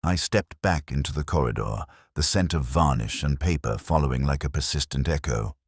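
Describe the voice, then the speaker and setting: A man playing a brooding, cerebral older detective inspector, narrating a mystery in a measured, grave, literary manner for a radio drama. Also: a bass voice, expressive, husky, no room sound, studio quality, exaggerated voice. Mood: brooding, reflective.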